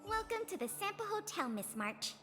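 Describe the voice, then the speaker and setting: A chatty, polite young hotel greeter from a video game. The voice is high and animated, explaining things with lots of movement. Long reverb trails it over faint music. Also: female voice, normal speed, heavily acted. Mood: cheerful, informative.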